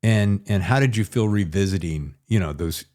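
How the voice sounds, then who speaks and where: A man's voice: low voice, normal speed, a little mellow. A man interviewing a guest conversationally as a podcast host.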